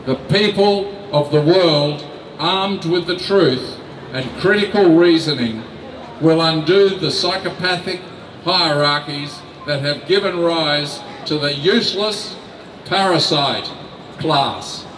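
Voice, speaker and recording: Slow, emphatic older man outdoors. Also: a little high, a bit bright, a little hiss or hum. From Australia. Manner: slow, deliberate, emphatic reading from notes. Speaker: rally speaker. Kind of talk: reading a prepared speech. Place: outdoor city square. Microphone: mic on a stand. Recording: echoey outdoor sound from a distant camera.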